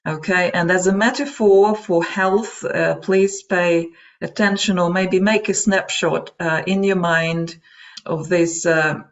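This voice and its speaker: Muffled lo-fi webinar audio, Russian-accented lecturer, mid-range pitch, explanatory, informal, hesitant with asides. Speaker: webinar presenter. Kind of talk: explanatory lecture to an online audience.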